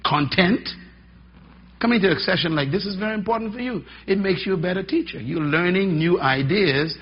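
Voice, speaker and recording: A man preaching a sermon, asking the crowd questions and swinging between loud and soft. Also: on the high side, breathy edge, big-room sound. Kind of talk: sermon to a live audience. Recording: dull lo-fi sound.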